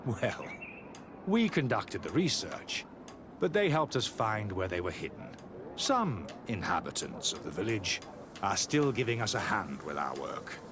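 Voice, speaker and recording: An actor playing a guarded, zealous missionary monk and scholar in a video game, speaking in a measured, dismissive way. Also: male voice, fairly low pitch, very animated, noisy background. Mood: self-assured. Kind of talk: video game cutscene acting.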